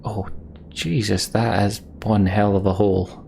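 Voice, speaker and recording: A man's voice: on the low side, level tone, unhurried, vocal fry, a little mellow, slight background noise. A man giving casual commentary on a video game and reading out its story. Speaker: let's play streamer.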